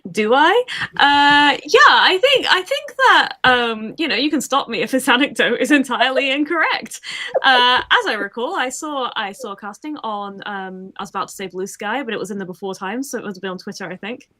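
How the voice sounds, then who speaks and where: Chatty woman on a video call, bright voice, laughs a lot at herself. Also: a little high, lively intonation, moderate pace. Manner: chatty, laughs often, self-deprecating. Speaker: voice actor, guest. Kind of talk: casual livestream Q&A chat.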